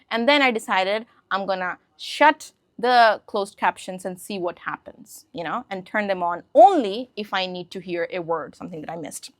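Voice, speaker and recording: A woman's voice: medium pitch, no room sound. A woman whose first language is Hindi gives a casual English lesson, often checking in with her listeners. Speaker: English teacher. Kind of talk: casual spoken lesson to camera.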